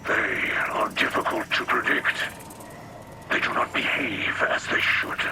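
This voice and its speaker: An actor playing a solemn, wise alien leader in a video game, speaking formally and deliberately in a breathy half-whisper. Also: male voice, background noise, lightly acted. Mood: solemn, grave. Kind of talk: dramatic video game dialogue.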